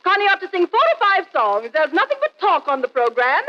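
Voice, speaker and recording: A fussy, domineering aunt in an old radio comedy, pitched extremely high and swinging up and down as she insists. She talks haughtily and at length, on a muffled vintage broadcast recording. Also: very animated, a little fast. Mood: bossy, indignant. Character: bossy, talkative aunt of a young singer. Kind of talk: 1940s radio comedy acting.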